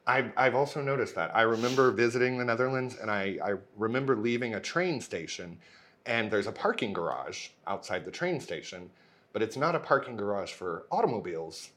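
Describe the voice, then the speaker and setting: A male host leading a casual interview, friendly, with quick follow-up questions. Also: medium pitch, lively intonation, fairly bright tone.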